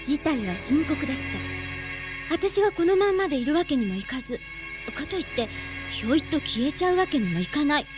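A woman's voice: high-pitched, expressive, brisk, music in the background. A woman playing an earnest, impulsive young heroine in a radio drama, speaking in a girlish, colloquial way. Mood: troubled. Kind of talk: radio drama acting and first-person narration.

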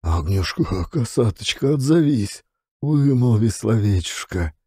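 A man's voice: lowish voice, expressive, a little slow, dark tone, slightly rough, no room sound, clean studio sound, pitched above the performer's own voice. A man voicing a blind, jealous husband who calls out in a coaxing, pleading way in an audiobook. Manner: coaxing, calling out tenderly.

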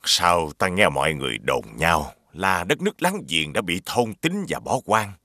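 A man's voice: sits low, very animated, moderate pace, pushed and bright, no echo, full character voice. A man voicing a stately king and father in a children's cartoon, formal and declamatory. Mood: stately, concerned.

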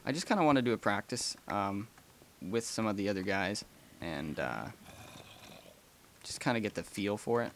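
A man's voice: on the low side, expressive, normal speed, long pauses, a little hiss or hum. A young man casually chatting and rambling through a let's play, with frequent ums and small laughs. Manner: rambling and chatty, many ums, gasps and laughs while playing. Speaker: gaming channel host.

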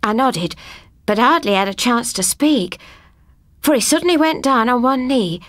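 A woman narrating a scripted first-person ghost story as an audiobook reader, with a husky voice. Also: a little high, expressive, normal speed, fairly bright tone, no echo, studio quality.

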